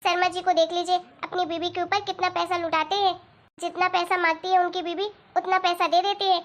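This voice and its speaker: A woman's voice: extremely high pitch, level tone, a little fast. A woman voicing a demanding, spendthrift young wife in a cartoon moral story, chatty and pleading as she nags. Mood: annoyed complaining.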